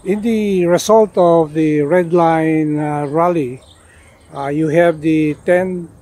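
An older man showing off his denim jacket outdoors, talking casually with lots of pauses and "uh" fillers. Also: on the high side, steady tone, warm tone. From Philippines. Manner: unhurried, many "uh" fillers and restarts. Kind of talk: casual show-and-tell. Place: outdoors in a leafy garden.

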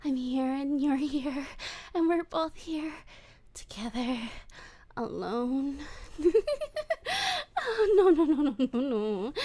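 A woman voicing an obsessive, lovesick demon woman who holds someone captive, whispering breathily close to the mic and stammering with excitement. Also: a little high. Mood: giddy. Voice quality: breathy whispering, giggly and unhinged. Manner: stammering, repeating herself in excitement. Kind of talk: ASMR roleplay audio drama.

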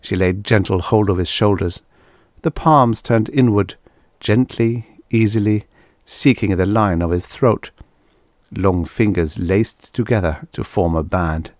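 Deep-ish guy reading an audiobook story, measured dramatic phrasing, really dry sound. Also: no echo. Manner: measured, expressive, deliberate phrasing. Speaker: audiobook narrator. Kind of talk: dramatic literary narration of vintage science fiction.

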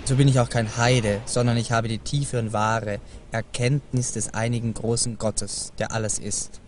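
A man's voice: medium pitch, flat delivery, normal speed, a little hiss or hum. A man solemnly reciting archaic religious prose in a declamatory way.

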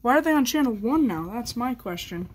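A woman's voice: a little high, animated, fairly quick, husky. A woman chatting informally in a hobby vlog, rambling and thinking aloud as she goes. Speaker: hobbyist video maker. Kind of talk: casual vlog chat.

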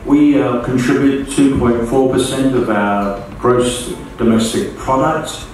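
An older man from the United Kingdom giving a formal speech at a podium in a large school auditorium, reading from notes at a measured pace. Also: fairly low pitch, slight background noise. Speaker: guest speaker. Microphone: podium mic from far camera. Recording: echoey hall, far from mic.

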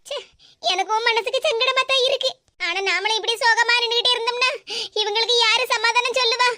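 A woman voicing a kindly neighbor who calmly comforts a worried family. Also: very high-pitched, fairly quick, voice pushed up. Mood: soothing. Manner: soothing, reassuring.

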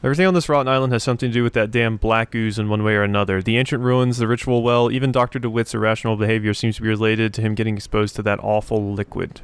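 A man's voice: fairly low pitch, fairly even intonation, very fast, hardly any pauses, clean tone, dry recording. A man doing casual let's play commentary, thinking aloud and reading out notes as he goes. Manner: thinks aloud, talking to himself and the game. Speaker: gaming video host.

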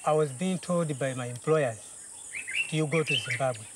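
A man from Zambia answering questions outdoors, mostly in quiet, short replies. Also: a little high, normal speed. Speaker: detained poacher. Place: outdoors in the bush. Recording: distant outdoor mic, faint insect noise.

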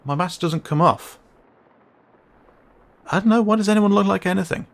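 A man's voice: medium pitch, creaky. A man playing a flustered, cheeky raccoon boy. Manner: flustered, muttering, sarcastic, halting. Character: cheeky, skittish young raccoon boy, grumbling intruder. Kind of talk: intimate audio roleplay.

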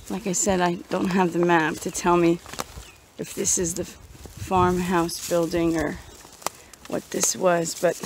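A woman vlogger casually narrating a nature walk outdoors, musing aloud in a halting, unscripted way. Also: medium pitch. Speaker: vlogger and walking guide. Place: outdoors on a woodland trail. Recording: outdoor noise, slightly muffled at times.

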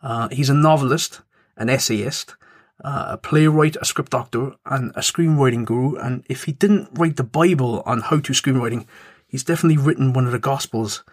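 Give me a measured man, mid-pitched and recorded with no room echo. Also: clean studio sound. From Ireland, Cork. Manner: measured, informative, builds up clues. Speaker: podcast co-host, screenwriter. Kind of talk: casual chat with storytelling.

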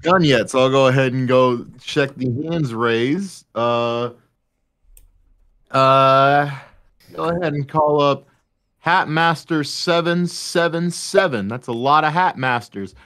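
Man, medium pitch, informal, accommodating, casual panel moderator on voice chat. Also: slow, a bit bright, smooth. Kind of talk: casual hosting of a fan Q&A. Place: remote voice chat.

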